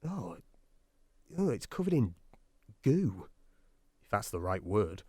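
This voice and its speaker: An actor playing an anxious, self-doubting, sardonic submarine doctor in a radio drama. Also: male voice, on the low side, big pitch swings, slow, a little mellow, slightly rough, dry recording, exaggerated voice. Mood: wry, uneasy. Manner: nervy, sardonic, brooding. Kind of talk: radio drama acting with diary-style monologue.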